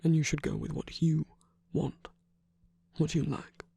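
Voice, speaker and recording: A performer playing a tender villain comforting a lover in an intimate ASMR roleplay, often whispering in a gentle, coaxing way close to the microphone. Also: mid-range voice, fairly even intonation, unhurried, exaggerated voice. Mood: tender, earnest. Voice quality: breathy, husky, often whispering.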